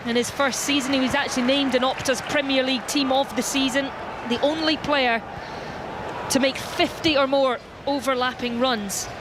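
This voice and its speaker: A woman giving play-by-play commentary on a live football match, rising to shouts when the ball reaches the goalmouth. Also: sits high. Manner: rises to shouts at goalmouth action. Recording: noisy background.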